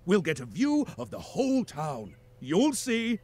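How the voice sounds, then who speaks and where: Jolly, wildly swooping daddy pig. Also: male voice, high voice, huge pitch swings, unhurried, darkish tone, full character voice. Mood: encouraging. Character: jolly, easygoing daddy pig, encouraging father. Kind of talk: children's cartoon game acting.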